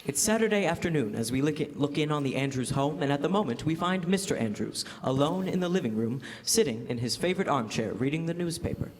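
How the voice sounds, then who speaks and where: A man's voice: a little high, lively intonation, fast talker, few pauses, natural voice. A young man playing a cheery, polished announcer and narrator in the style of 1940s radio, on stage in a hall. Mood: smooth, welcoming. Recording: echoey hall stage, loud music behind.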